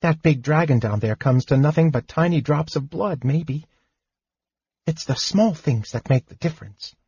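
A man voicing a small, earnest male kender who rushes his words and then turns sober. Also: fairly high pitch, animated, darkish tone, breathy edge, no room sound, muffled recording, voice pushed up, exaggerated voice. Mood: wistful. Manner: eager rushing speech turning sober.

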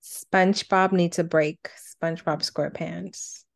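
A woman with a slightly low voice co-hosts a casual countdown show. She reads out each entry plainly, then drifts into conversational reminiscing. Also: fairly even intonation, unhurried, creaky. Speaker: co-host of a cartoon countdown show. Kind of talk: casual chat, reading out countdown entries.

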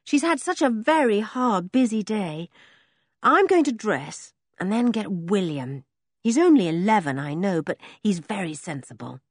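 A woman reading an audiobook voices a frightened, gentle young girl who talks in an anxious, pleading way. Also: medium pitch, sing-song, unhurried, no echo, clean studio sound.

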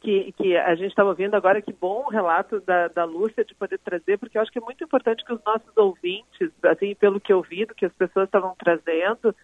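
A woman's voice: medium pitch, quick pace, hardly any pauses, no echo. Woman guest expert phoning in, tinny phone sound, dry, fluent explainer with stuttered starts. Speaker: guest expert on emotional intelligence. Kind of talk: explanatory radio interview. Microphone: phone call into the studio.

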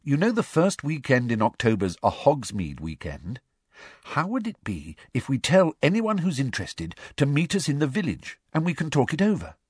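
A man raises his voice to play a clever, persistent teenage schoolgirl from a storybook reading, earnest and a little bossy. The voice sits well above his own, husky, with lively swoops of pitch. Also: moderate pace, no room sound, clean studio sound. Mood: persuasive.

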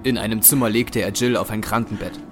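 Male narrator over very loud music. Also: on the low side, fairly even intonation, quick pace. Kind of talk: scripted dramatic narration. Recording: very loud music and battle effects behind.

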